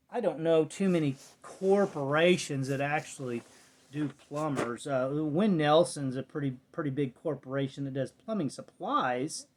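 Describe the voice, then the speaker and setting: A middle-aged American man chatting casually and unscripted in a rambling, folksy, muttering way, as a vlogger. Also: fairly high pitch. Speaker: vlogger showing a cassette collection. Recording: clear background noise, clicks, home recording.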